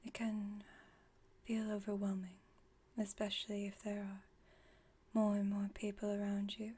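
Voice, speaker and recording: A woman playing an aloof, wry vampire friend with a secretly caring side, in a soft, breathy ASMR roleplay, speaking in a dry, teasing, unhurried way. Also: medium pitch, completely flat delivery. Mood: soft, reflective. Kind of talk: ASMR roleplay, intimate monologue.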